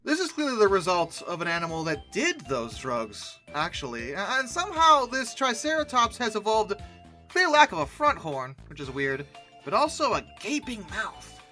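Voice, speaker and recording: Host for comic commentary: lively swooping intonation, bright forceful tone, sarcastic and exasperated, light music underneath. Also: mid-range voice, normal speed. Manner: sarcastic, exasperated, mock-incredulous. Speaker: video host. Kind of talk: comic commentary on dinosaur art.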